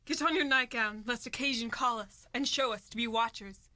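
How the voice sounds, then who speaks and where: A woman's voice: on the high side, normal speed, sharp tone, no room sound. A woman acting a scornful, scheming noblewoman in a Shakespearean radio play, speaking verse with commanding urgency. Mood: urgent, controlled. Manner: commanding, dramatic, urgent Elizabethan verse delivery.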